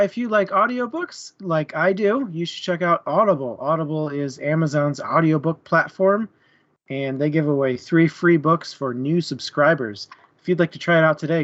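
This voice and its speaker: A man's voice: on the high side, muffled recording. A man co-hosting a casual book-chat livestream, talking informally to the viewers. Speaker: podcast co-host.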